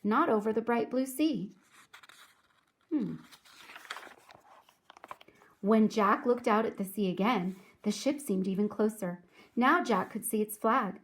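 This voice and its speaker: Woman, children's read-aloud, deliberate delivery, teacher addressing young listeners, medium pitch.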